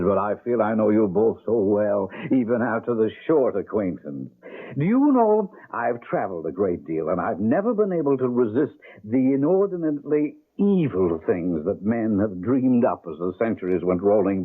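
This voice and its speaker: Sinister, effusive genteel landlord, swooping voice. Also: male voice, lowish voice, husky. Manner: fussy, effusive, theatrical, hesitant pauses and chuckles. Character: genteel, sinister landlord, devil in disguise, gleefully oversolicitous. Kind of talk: old-time radio mystery acting. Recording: muffled, band-limited old radio drama.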